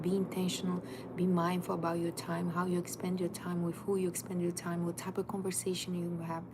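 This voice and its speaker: Woman, emphatic life coach. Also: lowish voice. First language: Portuguese. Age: 30s. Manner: emphatic, direct, repetitive second-person urging. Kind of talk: motivational livestream talk. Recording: noisy background.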